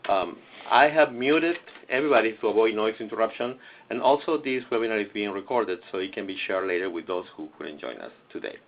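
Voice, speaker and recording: A man's voice: on the low side, expressive, a little fast, slight background noise. A man hosting and introducing a webinar, stumbling a little with fillers. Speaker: webinar host. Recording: lo-fi webinar audio.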